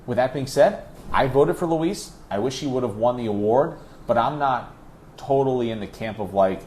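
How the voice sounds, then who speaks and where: Man, sports announcer as talk guest. Medium pitch, discursive and emphatic, noisy ambient recording. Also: moderate pace, darkish tone. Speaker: radio play-by-play announcer, interview guest. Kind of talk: conversational sports interview.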